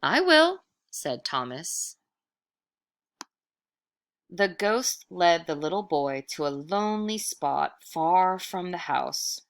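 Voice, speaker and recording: A woman storyteller with a low, creaky voice reads aloud at an unhurried pace. Her narration is expressive and dramatic, made for children, with certain words drawn out for effect.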